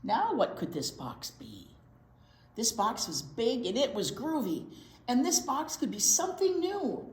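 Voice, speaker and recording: Woman reading aloud, very animated, high voice. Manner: expressive, drawn-out key words, prompting questions to small children. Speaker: children's storytime teacher. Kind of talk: animated picture book read-aloud for young children. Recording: roomy, echoey indoor sound.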